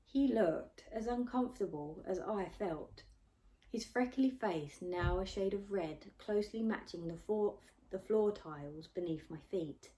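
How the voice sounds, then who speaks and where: A woman's voice: medium pitch, a little slow, warm tone, low-quality recording. Woman reading a novel aloud expressively. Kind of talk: expressive scripted audiobook narration in first person.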